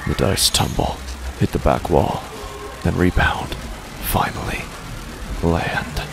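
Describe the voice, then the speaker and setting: A man performing a haunted gambler telling his horror tale in a very deep, creaky voice. He speaks in halting fragments with dramatic pauses and hushed near-whispers, over clearly audible music in an audio drama. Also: exaggerated voice. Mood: tense dread. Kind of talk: scripted first-person horror narration. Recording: music bed under the voice.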